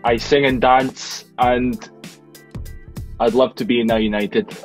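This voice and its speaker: A man's voice: mid-range voice, fairly even intonation, slow pace, soft background music, natural voice. A man voicing a vague, dreamy young adult singer who wants to join a pop group. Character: dreamy 23-year-old singer wannabe.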